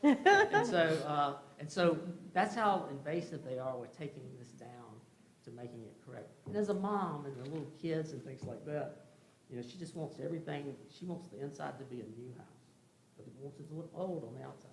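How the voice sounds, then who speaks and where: A man's voice: on the high side, very animated, fairly quick. Echoey man explaining folksily at a hearing. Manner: conversational, rambling, folksy explaining. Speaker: contractor presenting a case to a commission. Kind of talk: informal explanatory presentation at a public hearing. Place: indoor meeting room. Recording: echoey room with faint background noise.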